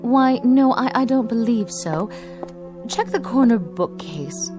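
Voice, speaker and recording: Casting: devoted housekeeper for radio play, deferential, anxious, caring, music clearly present behind the voice. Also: female voice, on the high side, animated, slow pace. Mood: attentive. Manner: deferential, solicitous. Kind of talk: radio drama acting.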